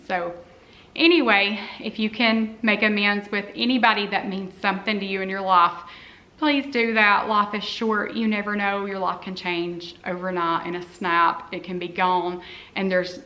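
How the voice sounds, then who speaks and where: A woman in her forties speaks to her camera in a loose, heartfelt ramble, often pausing on an "um." Her voice sits at an ordinary middle pitch but has a bright, forceful edge. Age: 40s. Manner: earnest and heartfelt, rambling with frequent "um"s. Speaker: cleaning vlogger. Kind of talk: casual talk to camera.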